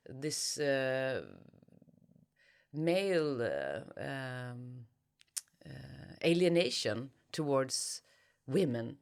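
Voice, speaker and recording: Low-pitched, slow-speaking woman in thoughtful interview. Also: sing-song, clear, resonant tone. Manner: hesitant, searching for words, reflective. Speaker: guest author being interviewed.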